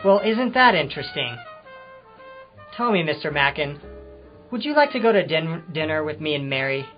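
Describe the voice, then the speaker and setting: An evasive, touchy ex-convict, played by a man talks in the manner of old-time crime radio. He is hesitant and defensive, pitched fairly high for a man, with music behind a slightly dull recording. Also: very animated, normal speed. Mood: awkward, evasive. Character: evasive, touchy male ex-convict confessing to a detective. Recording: muffled amateur radio-drama recording with music.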